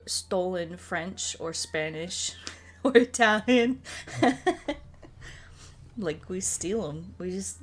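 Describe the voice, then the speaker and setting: A sleepy American woman chatting casually as she streams a puzzle game, soft-spoken and relaxed, musing and answering viewers. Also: fairly low pitch, drawn-out words, long pauses. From United States. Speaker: ASMR creator and live streamer. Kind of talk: casual chat while playing a puzzle game, reading and answering viewers' comments. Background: soft music.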